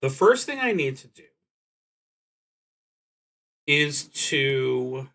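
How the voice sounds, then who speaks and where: A man talks himself through a solo tabletop game on a stream. His delivery is very slow and halting, with deliberate phrasing that often trails off. His pitch sits in a medium range for a man. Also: sing-song, pushed and bright. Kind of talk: thinking aloud while playing a solo card and dice game.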